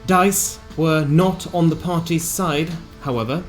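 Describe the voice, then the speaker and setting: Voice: mid-range voice, a little slow. A narrator reading a scripted recap of a tabletop fantasy game in a theatrical storytelling manner with wry asides. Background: music.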